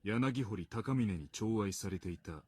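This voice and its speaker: A solemn horror game narrator, lowish raspy voice, reading like an occult article. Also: male voice, expressive, moderate pace, darkish tone.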